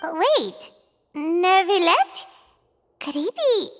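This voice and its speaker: A tiny, chatty fairy companion from a video game, with an extremely high, childlike voice. She swings animatedly through her exclamations and is blunt and excitable. The dialogue rings in a long reverb. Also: drawn-out words, soft background music, heavily acted. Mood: startled, apologetic. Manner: refers to self in third person, exclamatory. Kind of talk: video game character acting.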